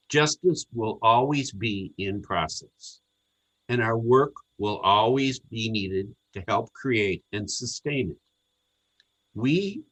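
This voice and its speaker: Husky guy reading a sermon, earnest and measured, voice rises and falls a lot. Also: mid-range voice, a bit bright, rough recording. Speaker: congregational service speaker. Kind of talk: sermon read from a script.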